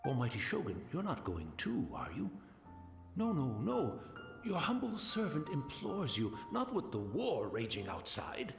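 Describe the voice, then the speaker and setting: A man's voice: mid-range voice, huge pitch swings, unhurried, gravelly, reverberant, faint music behind, full character voice. An actor playing an elderly, humble male tea house keeper in a video game, fussy and polite. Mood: pleading. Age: older. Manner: fussy, polite and old-fashioned.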